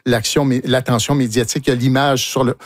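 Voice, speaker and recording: A man's voice: mid-range voice, little pitch movement, quick pace, no room sound, clean studio sound. A man with a Quebec French accent hosting a radio talk show, asking long, probing questions.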